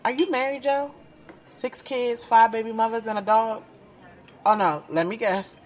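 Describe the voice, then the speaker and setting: A woman's voice: fairly high pitch, steady tone, normal speed, natural voice. An actress playing a guarded, skeptical young woman poet in an audio drama, speaking in a candid, conversational, street-smart way. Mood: exasperated. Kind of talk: audio drama dialogue and first-person narration. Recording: music playing behind the voice.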